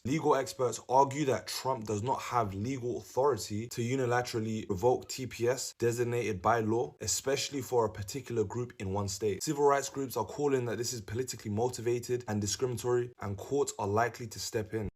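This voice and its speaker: A man's voice: mid-range voice, fairly quick, hardly any pauses. A man hosting a commentary channel, breaking down a news story in a direct, explanatory way.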